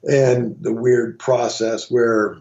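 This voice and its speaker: Mellow-voiced middle-aged man telling stories. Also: medium pitch, little pitch movement, takes it slowly, gravelly, muffled recording. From Montana, USA. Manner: rambling, with many restarts and asides. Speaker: guitarist and songwriter, interview guest. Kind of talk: casual storytelling interview.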